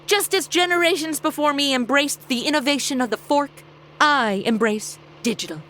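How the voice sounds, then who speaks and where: A woman's voice: high voice, moderate pace, bright, forceful tone, a little hiss or hum. A woman playing a brash, flippant young woman from the future in a comedy audio drama, with breezy, sarcastic modern slang. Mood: casual, wry.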